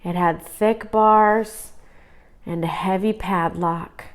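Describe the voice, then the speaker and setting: A woman reads a children's picture book aloud as a storyteller. Her voice sits at a middle pitch, and she delivers the scripted tale expressively. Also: steady tone, slow pace. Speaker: storybook reader.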